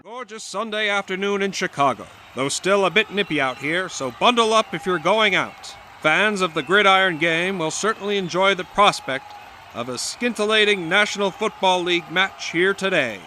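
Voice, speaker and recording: An actor playing an excited, florid 1920s radio football announcer calling play-by-play in a period drama. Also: male voice, sits high, moderate pace, faint music behind. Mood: upbeat. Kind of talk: 1920s radio sports commentary.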